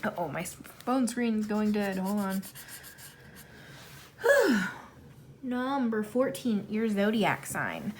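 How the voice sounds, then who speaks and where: A woman's voice: mid-range voice, very animated, slow pace, long pauses, slight background noise. A woman in her thirties chatting casually to camera, rambling and self-deprecating, with many fillers. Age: 30s. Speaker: YouTuber answering a tag questionnaire. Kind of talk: casual chat while coloring.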